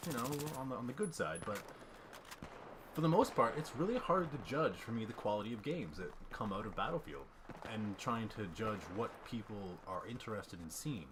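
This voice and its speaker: Young male gameplay commentator, rambling and self-deprecating, low-ish voice, stifling a cough, gunfire clearly in the background. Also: lively intonation, moderate pace, background noise. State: fighting off a cough. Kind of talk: casual voice-over commentary over gameplay. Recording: game gunfire clearly heard behind. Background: game gunfire and ambience.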